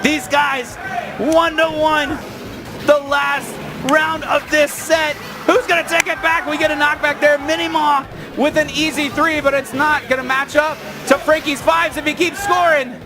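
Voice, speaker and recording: A man giving excited, exclamatory live play-by-play commentary as an esports commentator. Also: extremely high pitch, measured pace, noisy background. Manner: exclamatory, hyped. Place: live tournament venue. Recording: loud event hall, crowd and music. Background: cheering crowd and music.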